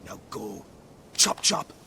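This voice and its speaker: An actor playing a crotchety, haughty old druid in a video game, with an imperious, condescending manner and whispery phrase endings. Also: male voice, takes it slowly, background noise, lightly acted. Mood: testy, impatient. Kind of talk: scripted video game acting.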